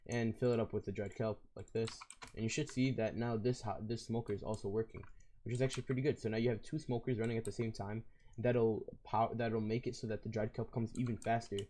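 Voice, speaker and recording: A hoarse man with a raspy voice, walking through a game build in a casual, rambling way. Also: lowish voice, fairly even intonation, fairly quick, mellow, slight background noise. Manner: casual and rambling, with fillers and self-corrections. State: hoarse, losing his voice. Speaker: video game tutorial creator. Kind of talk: casual step-by-step game tutorial.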